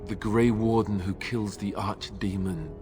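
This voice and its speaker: A man's voice: fairly low pitch, steady tone, measured pace, music in the background, close to an everyday voice. A young man voicing an earnest warrior in a fantasy game, casual and a little hesitant, with a wry edge. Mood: uneasy.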